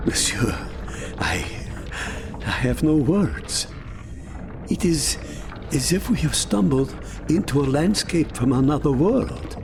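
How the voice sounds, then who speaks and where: An actor playing a loyal, courteous manservant in an audio drama, speaking in a halting, precise, reverent way. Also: male voice, on the high side, sing-song, creaky, background noise, full character voice. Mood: awestruck.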